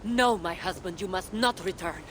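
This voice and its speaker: Woman playing an earnest goddess wife over loud rumble. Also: moderate pace, lightly acted. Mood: worried, pleading. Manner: earnest, grave, pleading. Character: devoted, anxious goddess and warrior's wife. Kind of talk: dramatic video game cutscene acting. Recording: loud rumbling game background noise.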